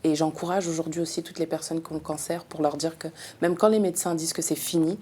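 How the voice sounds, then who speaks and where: Low, mellow-voiced businesswoman. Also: fast talker, slight background noise. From Democratic Republic of the Congo. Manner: calm, earnest, with frequent hesitations. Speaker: guest, businesswoman. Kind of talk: conversational interview.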